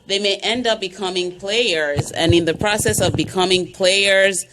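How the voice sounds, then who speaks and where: A woman from Nigeria, a guest counselor in a radio interview, giving earnest, measured advice. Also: medium pitch, a bit bright, rough recording. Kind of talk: interview answers.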